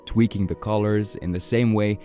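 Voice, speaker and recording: A man's voice: fairly low pitch, fairly even intonation, moderate pace, mellow, soft background music. A man narrating a scripted podcast as its host.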